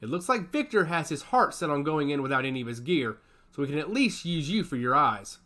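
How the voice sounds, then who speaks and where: A man voicing a calm, firm squad leader who speaks in a measured, authoritative way. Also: on the high side.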